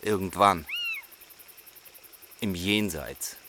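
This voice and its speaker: Fed-up tired refugee, low blunt voice, radio play, noisy ambience around him. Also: male voice, extremely slow, pushed and bright, full character voice. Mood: bitter. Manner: bitter, blunt. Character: bitter Kurdish male refugee, weary companion on the road. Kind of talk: radio drama dialogue.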